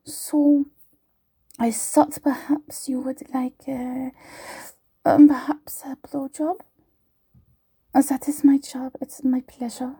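Flirty tavern maid, put on by a woman; fake German accent, husky, dark-toned whisper, unhurried. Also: high voice, flat delivery, heavily acted. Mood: whispery. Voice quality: breathy, whispered. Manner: giggly, teasing, slow. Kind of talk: roleplay audio.

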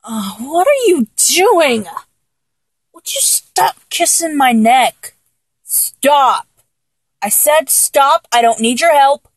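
High-pitched, exasperated girlfriend, acted by a woman. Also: sing-song, slow, no room sound, full character voice. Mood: irritated. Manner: exasperated, teasing, whiny, with sighs and giggles. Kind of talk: intimate adult audio roleplay, one-sided dialogue.

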